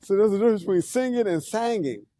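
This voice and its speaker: A man hosting a casual live interview from outdoors, relaxed and chatty, repeating words and laughing along with his guest. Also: high voice, fast talker. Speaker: show host. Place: outdoors near an airport.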